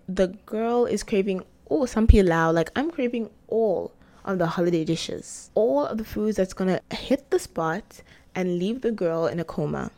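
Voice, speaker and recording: Chatty woman podcast host, mellow voice. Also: fairly low pitch. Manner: chatty and animated, talks to listeners directly. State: losing her voice. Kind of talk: solo podcast monologue.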